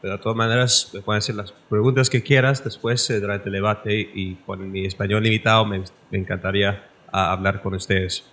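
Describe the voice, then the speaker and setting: A man from Canada giving a formal lecture from his notes, careful and measured, slightly halting. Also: fairly low pitch, steady tone, fairly bright tone. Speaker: guest lecturer.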